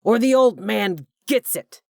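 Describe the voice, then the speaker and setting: A woman voicing a cruel, volatile male villain who taunts slowly and then bursts into screams. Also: very deep voice, darkish tone, dry recording, clean studio sound. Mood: furious. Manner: slow, taunting, erupting into screams.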